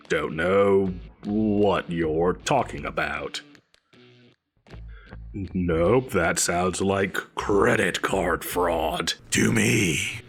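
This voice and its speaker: An actor playing a pompous, petulant supervillain boss in an audio roleplay, blustering theatrically and defensively. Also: male voice, low voice, fairly bright tone, breathy edge, exaggerated voice. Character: pompous, petulant, evasive male supervillain employer. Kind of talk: scripted audio roleplay addressed to a listener.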